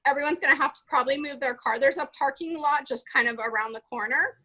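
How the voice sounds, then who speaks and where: Woman, meeting organizer, bright tone, raised pitch, chatty, listing items. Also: fast talker, rough recording. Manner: chatty, lists items, frequent fillers. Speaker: event organizer leading a meeting. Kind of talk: casual planning meeting.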